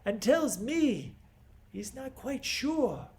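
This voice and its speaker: Man acting a proud, slow-spoken, scornful king. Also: extremely high pitch, sing-song, a little mellow, voice pushed up, full character voice. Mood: stern, resolute. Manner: theatrical, deliberate, emphatic delivery with dramatic pauses. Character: proud, scornful, stern king lecturing his niece. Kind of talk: classical stage monologue.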